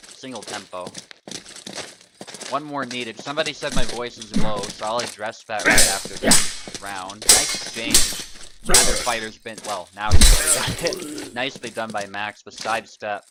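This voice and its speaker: A man giving live commentary on a fencing video game tournament, calmly explaining technique as the fights play out. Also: fairly low pitch, moderate pace. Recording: loud background noise.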